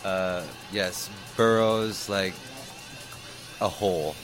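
Low-voiced laid-back young man DJ. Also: fairly even intonation, very slow, a bit bright. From Detroit. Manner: hesitant, filler-heavy, laid-back. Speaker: guest DJ. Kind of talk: casual interview chat. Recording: music playing underneath.

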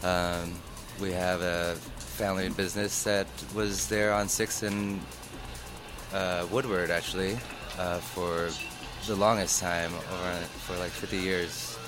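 A man's voice: deep voice, level tone, takes it slowly. A young man from Detroit, a guest DJ, chatting casually in an interview, hesitant and full of fillers. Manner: hesitant, filler-heavy, laid-back. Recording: music playing underneath.

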